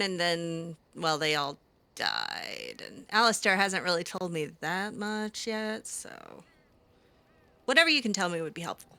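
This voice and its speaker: A woman roleplaying aloud with game characters, her bright voice rising and falling a lot. She takes her time and stumbles through ums and restarts, often breaking into giggles. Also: medium pitch.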